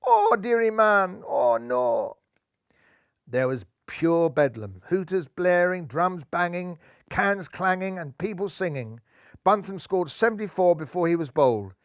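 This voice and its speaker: Lively older man reading a storybook. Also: high-pitched, moderate pace, no echo, studio quality. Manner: lively, theatrical. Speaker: storybook narrator. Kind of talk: expressive children's story reading. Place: living room, seated in armchair. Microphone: lapel mic.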